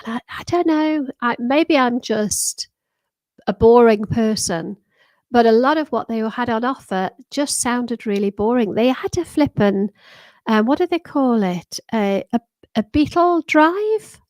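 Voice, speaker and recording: A woman's voice: on the high side, lively intonation, a little slow, darkish tone, no room sound. A retired British woman in her sixties, chatting casually with viewers on a livestream, rambling with frequent ums, restarts and laughs, her voice a little worn. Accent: British English. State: slightly losing her voice. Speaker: livestream host. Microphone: desktop microphone.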